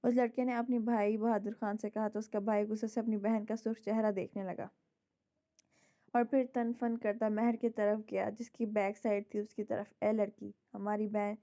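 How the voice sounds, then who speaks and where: Hoarse woman reading a novel aloud. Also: medium pitch, level tone, normal speed, mellow. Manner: dramatic storytelling. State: hoarse, sore throat. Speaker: novel narrator.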